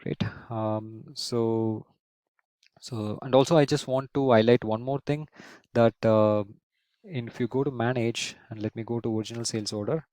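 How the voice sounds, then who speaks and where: A man giving an unscripted software tutorial as an instructor, explaining step by step and often repeating and rephrasing himself. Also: medium pitch, fairly even intonation, moderate pace, no echo.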